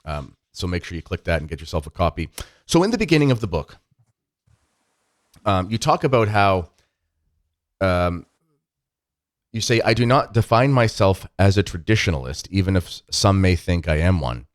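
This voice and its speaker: A man's voice: low-pitched, expressive, moderate pace, long pauses, no echo, studio quality. A man hosting an interview podcast, talking in a friendly, rambling way with many fillers. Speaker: podcast host.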